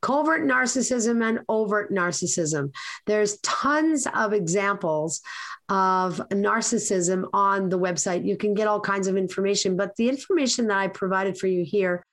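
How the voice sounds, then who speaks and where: A raspy, hoarse woman hosts her podcast, talking through advice in an earnest, meandering way. Her pitch sits in a middling range. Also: normal speed, fairly bright tone. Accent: Canadian. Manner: earnest, lecturing, rambling. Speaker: podcast host. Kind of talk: casual advice talk.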